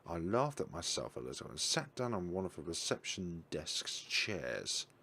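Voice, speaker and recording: A man's voice: low-pitched, big pitch swings, moderate pace, creaky, pushed and bright, a little hiss or hum. A man reading a visual novel's narration aloud in a tense, anxious manner.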